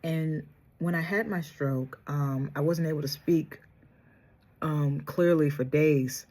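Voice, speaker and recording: A woman vlogger chatting casually through a makeup tutorial, soft-spoken and trying to project with a sore, strained throat. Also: deep voice, slow pace. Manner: chatty, addresses viewers directly, trails off and restarts. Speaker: beauty vlogger.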